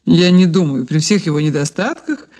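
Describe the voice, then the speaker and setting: A woman's voice: low voice, normal speed, mellow. An actress playing a refined, evasive society lady under questioning in a Soviet radio play, with genteel, sighing, hesitant speech. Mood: cool, evasive.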